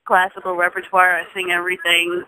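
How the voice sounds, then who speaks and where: A woman's voice: lowish voice, completely flat delivery, fairly quick, rough recording. A woman in her thirties, a singer from Jamaica, speaking as an interview guest in a thoughtful, casual way, restarting phrases often. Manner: thoughtful, with frequent restarts and soft laughs.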